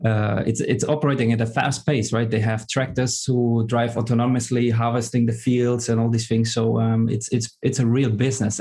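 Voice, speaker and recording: A middle-aged man from Germany, speaking as a guest in a casual podcast interview, pausing often with fillers and restarts. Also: mid-range voice, a little mellow. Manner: hesitant, many fillers and restarts. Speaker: guest, tech executive.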